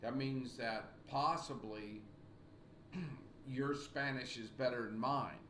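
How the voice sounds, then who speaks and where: An older man in his sixties records a class lecture at a webcam in a small room at home. He teaches slowly and deliberately, repeating his points and clearing his throat between phrases. The room sounds echoey, with a faint hiss behind him. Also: medium pitch, a bit bright. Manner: slow, repetitive, encouraging teacher talk with frequent throat clearing. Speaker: college writing instructor. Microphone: webcam at desk.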